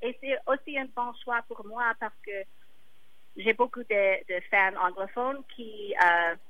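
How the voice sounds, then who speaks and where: English-speaking singer lady, slow careful second-language talk, lots of pauses, muffled phone-ish sound. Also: a little high. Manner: hesitant, searching for words.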